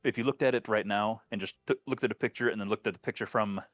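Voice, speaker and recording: A man's voice: fairly low pitch, fast talker, no echo, clean studio sound, soft background music. An aging man hosting a casual podcast, friendly and wry, talking shop about his hobby builds. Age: older. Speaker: podcast host.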